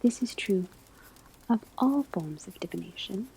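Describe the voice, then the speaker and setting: A woman performing an ASMR classroom roleplay as a calm, formal witch school teacher, speaking softly and often dropping into a whisper. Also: medium pitch, slight background noise, low-quality recording. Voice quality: soft, breathy, often whispered. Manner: measured, formal, precise phrasing. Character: calm, kindly but strict witch school divination teacher.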